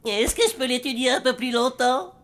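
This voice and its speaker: A woman's voice: sits high, normal speed, a bit bright. A woman voicing an earnest, curious and sceptical schoolchild in a French cartoon. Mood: curious, eager. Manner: earnest, matter-of-fact, curious. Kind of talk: French animated cartoon dubbing.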